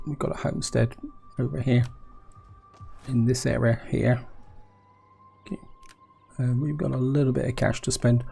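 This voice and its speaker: A hoarse man with a cold, musing aloud as he plays a strategy game, often asking himself questions and speaking to his viewers. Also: medium pitch, warm tone. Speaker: gameplay commentator.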